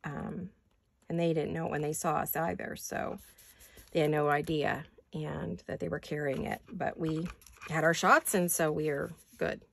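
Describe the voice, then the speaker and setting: An older woman with a raspy voice chatting casually in her home craft studio, rambling and thinking aloud as she works. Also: sits low, steady tone, moderate pace, a little hiss or hum. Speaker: craft vlogger. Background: neighborhood garbage-day banging.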